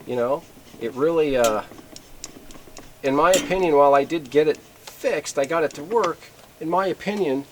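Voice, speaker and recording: A middle-aged man with an American accent giving a casual, unscripted gear review in a plainspoken, folksy, halting way. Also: fairly high pitch. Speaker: video host reviewing radio gear. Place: in front of a closed garage door. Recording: clear background noise, camera at a distance.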